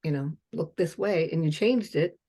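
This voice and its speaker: A woman's voice: sits low, normal speed, mellow. A woman in her fifties hosting a casual chat, blunt and chatty, swearing freely and reeling off lists. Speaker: host.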